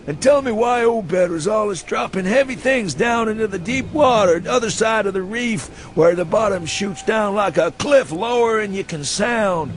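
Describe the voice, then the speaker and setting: A man's voice: high-pitched, lively intonation, normal speed, hardly any pauses, a little hiss or hum, voice pushed up. A man voicing a drunken, hysterical old man with a rural New England accent and rustic, goading speech in a dramatised story reading. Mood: sly, goading. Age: elderly. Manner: rustic dialect, goading questions ending in "huh?". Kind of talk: dramatised audiobook reading for radio.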